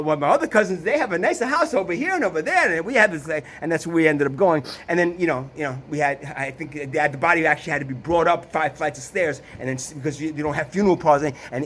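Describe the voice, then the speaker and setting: A man with a somewhat high-pitched voice recounts his family's past in loose, unscripted talk. He stumbles through restarts and repeated words, swears freely, and drops sarcastic rhetorical questions. A faint hiss sits under the recording. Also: fast, hardly any pauses, fairly bright tone. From Italy. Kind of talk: casual personal storytelling.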